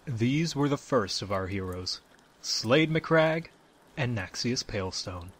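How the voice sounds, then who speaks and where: A man narrating a scripted fantasy story as the storyteller, with dramatic, deliberate phrasing. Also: mid-range voice, expressive, fairly bright tone.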